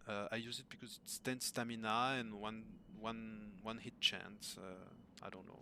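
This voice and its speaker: A hoarse middle-aged man speaking as an at a home desk mic, his voice a touch low. He talks slowly and haltingly, stopping and restarting with lots of uhs. A faint hiss runs under the recording. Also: flat delivery, pushed and bright. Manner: hesitant, many fillers and restarts. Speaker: gamer asking for coaching. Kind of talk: casual recorded message to a coach. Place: home room.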